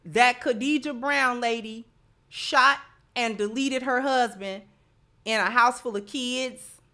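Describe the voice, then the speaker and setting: A woman's voice: fairly high pitch, takes it slowly, bright, forceful tone. A woman hosting livestream social commentary, speaking emphatically and often repeating phrases to drive a point home.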